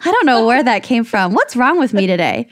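A woman's voice: a little high, very animated, a little fast, slight background noise. A woman co-hosting a podcast in casual chat, rambling and giggling as she reads from her notes. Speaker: podcast co-host.

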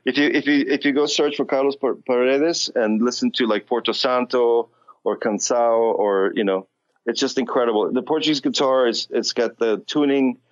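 A man's voice: medium pitch, fairly even intonation, normal speed, highs cut off. A man with a light non-native accent, talking as a musician guest in a casual interview, rambling with many restarts and fillers.

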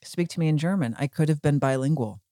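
Female podcast host, mellow dark timbre, dry studio sound, casual and anecdotal delivery. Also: deep voice, quick pace, dry recording. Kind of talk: casual chat.

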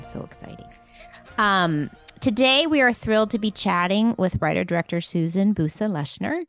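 A woman hosting a podcast in casual, chatty interview style with a gushing, excited manner. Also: on the low side. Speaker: podcast host.

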